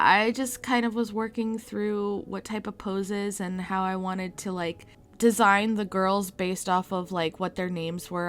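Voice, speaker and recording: A woman's voice: medium pitch, fairly bright tone. A woman with a stuffy, congested voice from a cold, chatting casually and rambling as she talks about her art. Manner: chatty and rambling, many likes and self-corrections. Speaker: artist and channel host. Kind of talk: casual voice-over for a speed draw.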